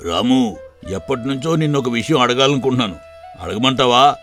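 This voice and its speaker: A man's voice: medium pitch, animated, a little fast, fairly bright tone, faint music behind, close to an everyday voice. A man playing a kindly village grocery owner in a moral story, talking familiarly and rustically as a trusting boss. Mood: trusting, curious. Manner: familiar, rustic employer's talk. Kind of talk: dramatized moral story for video.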